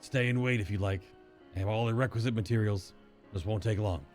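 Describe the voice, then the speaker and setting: A man reading a small, gruff male saddle maker creature from a game, sounding brisk and formal. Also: on the low side, fairly even intonation, darkish tone, soft background music, natural voice.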